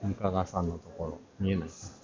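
Male vlogger, low and slow, halting casual tour commentary, muffled sound amid crowd bustle. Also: little pitch movement. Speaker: art channel vlogger giving a walk-through. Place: large crowded indoor exhibition hall. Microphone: action camera at arm's length, face mask on. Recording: muffled audio in a busy crowded hall.

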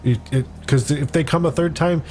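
A man's voice: fairly high pitch, normal speed, darkish tone, a little hiss or hum. A man playing a tabletop role-playing game, thinking aloud through his moves. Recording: light music under the voice. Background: background music.

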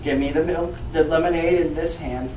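A man's voice: on the high side, steady tone, normal speed, a little hiss or hum. A young man doing an improv comedy scene in a living room, breaking into loose rhymed rapping. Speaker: improv performer. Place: carpeted living room, indoors. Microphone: far camera. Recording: roomy sound from a far camera, loud music.

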